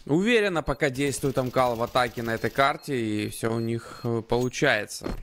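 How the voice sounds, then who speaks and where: A man's voice: mid-range voice, brisk, a bit bright. A man working as an esports commentator, calling and analysing a live match. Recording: noisy, gunfire and clicks behind commentary. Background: game gunfire and clicks.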